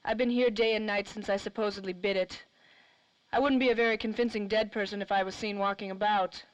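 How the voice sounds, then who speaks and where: A woman acting a worldly noir dame of old-time radio, cool, wry and guarded. Her voice sits at a middle pitch in a very dry recording. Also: steady tone, fairly quick, dry recording, muffled recording, lightly acted. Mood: explaining, composed. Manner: wry, slangy. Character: cool, worldly, secretive 1930s noir femme fatale.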